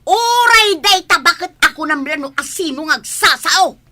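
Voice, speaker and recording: A woman with an Ilocano accent playing a bossy, sharp-tongued village wife in a comedy radio drama. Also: extremely high pitch, lively intonation, normal speed, slight background noise, full character voice. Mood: scolding, irritated.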